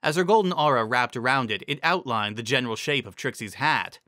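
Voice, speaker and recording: Story narrator, dramatic scripted reading, bright tone, lively intonation, dry recording. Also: mid-range voice, brisk, no room sound. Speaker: narrator reading fan fiction.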